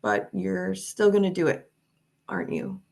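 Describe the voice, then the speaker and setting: A woman's voice: on the low side, sing-song, unhurried, gravelly, a little mellow, heavily acted. A woman playing a caring but exasperated fiancée at a screenplay table read. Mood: hurt, concerned.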